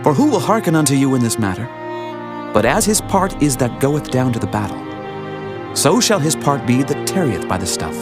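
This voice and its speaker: A man's voice: medium pitch, sing-song, normal speed, music in the background, natural voice. A man playing an earnest, commanding Hebrew warrior leader in dramatized scripture. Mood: firm, impassioned.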